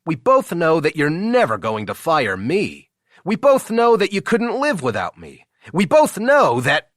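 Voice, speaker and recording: Man acting out a cocky, high-pitched teasing best friend. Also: wildly swooping pitch, a little slow, a bit bright, no echo, clean studio sound, full character voice. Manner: brash, needling, jokey. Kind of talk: audiobook character dialogue.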